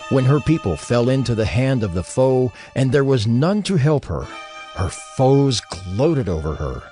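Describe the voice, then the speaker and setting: A man narrating scripture in a solemn, dramatized style, with grave, measured, dramatic phrasing. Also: medium pitch, very animated, warm tone. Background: music behind the voice.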